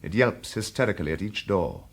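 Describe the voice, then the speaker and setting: A man narrating a scripted story in a measured, theatrical manner, with deliberate pauses. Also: low-pitched, wildly swooping pitch, vocal fry. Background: music.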